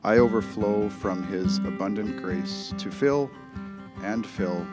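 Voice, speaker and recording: A man's voice: on the low side, slow pace. A man reading a short composed prayer aloud as a member of a congregation. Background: loud plucked guitar music.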